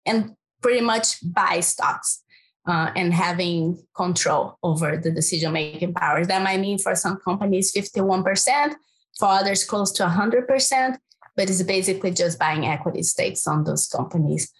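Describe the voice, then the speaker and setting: A woman's voice: lowish voice, moderate pace. Brazilian-accented woman explaining climate policy. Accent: Brazilian Portuguese. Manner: earnest, thinking aloud with fillers and restarts. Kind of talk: interview answer.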